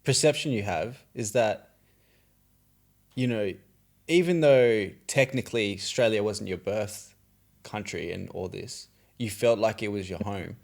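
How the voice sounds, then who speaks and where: A man's voice: on the low side, unhurried, gravelly. A man hosting a podcast, thoughtfully summing up and reflecting on his guest's story in an earnest way. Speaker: podcast host. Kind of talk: reflective interview commentary.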